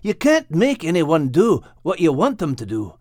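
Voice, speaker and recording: A woman's voice: on the low side, animated, takes it slowly, a little mellow, no echo, clean studio sound, voice pushed up. A woman voicing a loyal, earnest male dog with folksy wording in a storybook. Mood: somber.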